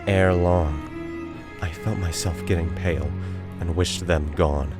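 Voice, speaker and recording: Man acting a theatrical, deep-voiced gothic murderer. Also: fairly even intonation, over music. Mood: growing unease. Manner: theatrical, deliberate phrasing with drawn-out words. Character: guilt-crazed murderer, feverishly confident, unraveling into frantic confession. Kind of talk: dramatic reading of a gothic horror story.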